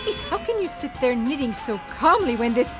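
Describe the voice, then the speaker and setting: A woman's voice: high voice, big pitch swings, normal speed, music in the background. A woman playing an anxious, chatty female traveller in audio theatre, flustered and full of questions.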